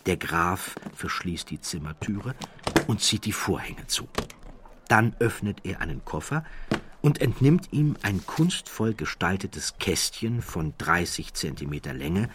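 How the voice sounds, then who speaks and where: A man reading a literary audiobook as a scripted narrator, with measured, deliberate phrasing and dramatic pauses. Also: low-pitched, very animated, background noise.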